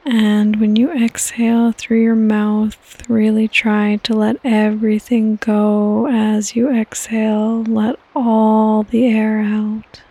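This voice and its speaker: A woman hosting a guided sleep meditation in a soft, breathy, partly whispered voice, with calm, gentle instructions and long pauses. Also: a little high, dry recording. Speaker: podcast host and meditation guide.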